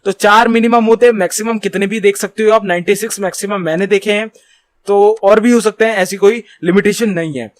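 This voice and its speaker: A man's voice: high voice, steady tone, no echo. A man teaches an online course. He explains in an informal, instructional way, mixing in English technical terms. The recording is close and very dry. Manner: reads English points slowly and deliberately, then explains conversationally. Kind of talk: screen tutorial lesson, explaining informally.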